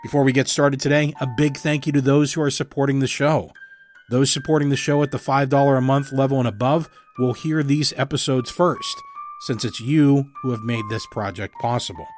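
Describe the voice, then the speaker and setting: A man with a medium-pitched voice reads a scripted podcast introduction as the host. Music plays faintly beneath him.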